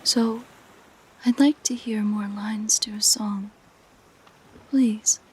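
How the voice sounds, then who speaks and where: A woman voicing a possessive, curious siren in an intimate audio roleplay, speaking in soft, gentle, musing whispers. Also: medium pitch, steady tone, a little hiss or hum, close to an everyday voice, poor audio. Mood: soft, possessive fondness. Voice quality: soft, breathy, mostly whispered. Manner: slow, gentle, musing delivery. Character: possessive, curious female siren, sweetly entranced by humans.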